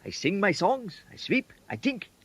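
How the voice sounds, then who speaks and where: A man's voice: high-pitched, very animated, normal speed, fairly bright tone, breathy edge, no room sound, heavily acted. A man playing an elderly, cheerful and wistful Indian sweeper with an Indian accent in a comic radio drama, lilting and eagerly polite. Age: older. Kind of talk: radio comedy drama acting.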